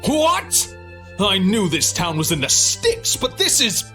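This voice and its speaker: A man's voice: a little high, very animated, moderate pace, a bit bright, soft background music. An actor playing a haughty, entitled rich male traveler in a video game, pompous and snooty. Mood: indignant. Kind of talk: English video game dub acting.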